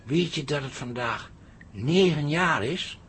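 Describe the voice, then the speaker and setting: An actor playing a weary, teasing married pub landlord in a colloquial, wry way in a comic radio drama. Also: male voice, a little high, lively intonation, slow pace, a bit bright, faint music behind. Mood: listless, weary.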